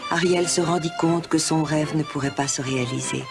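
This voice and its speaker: A woman narrates a scripted fairy tale for children. Her voice sits a little low for a woman, and music plays clearly behind her. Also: steady tone, brisk.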